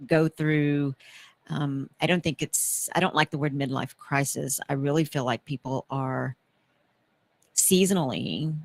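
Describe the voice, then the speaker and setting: A woman's voice: low-pitched, unhurried, gravelly. A woman in her mid-fifties hosting a talk show, speaking earnestly and reflectively about purpose and faith. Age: 50s. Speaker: host.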